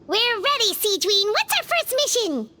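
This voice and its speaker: A woman voicing a tiny, childlike fairy companion in a game, squeaky and eager. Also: big pitch swings, a little slow, pushed and bright, a little hiss or hum, heavily acted. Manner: squeaky, eager, refers to self in third person. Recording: music clearly under the voice.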